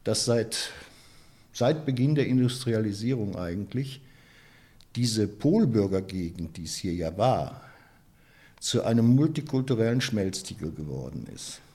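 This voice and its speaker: A man from the Ruhr area, giving a reflective interview and often pausing with hesitations and restarts. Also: lowish voice, expressive, dark tone. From Ruhr area, Germany. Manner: thoughtful, many hesitations and restarts. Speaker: interviewee, photographer and author.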